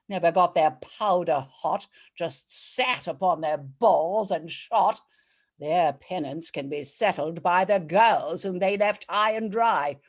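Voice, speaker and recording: A woman's voice: fairly low pitch, darkish tone, breathy edge, full character voice. A woman playing a righteous female judge, declaiming comic rhyming verse theatrically and savouring each rhyme. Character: regal, righteous female judge of a bawdy court. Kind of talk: dramatic verse reading, rhyming comic poem.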